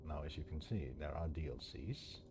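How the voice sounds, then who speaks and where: A man's voice: a bass voice, moderate pace. A man hosting a game playthrough with casual, musing commentary, often posing questions to the viewer. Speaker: game streamer and host. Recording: clear background noise, ambience. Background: ambient noise.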